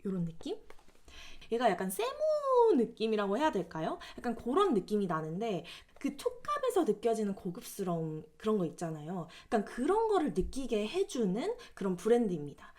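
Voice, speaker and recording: A woman's voice: medium pitch, darkish tone. A young woman chatting politely and casually to viewers as she explains product recommendations. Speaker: vlogger recommending gifts.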